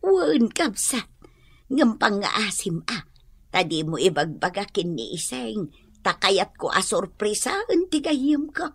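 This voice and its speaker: A woman acting a gentle middle-aged mother in a radio drama, with an Ilocano accent. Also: mid-range voice, very animated, measured pace, husky, no room sound, heavily acted. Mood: earnest, hopeful. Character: gentle ailing mother awaiting eye surgery.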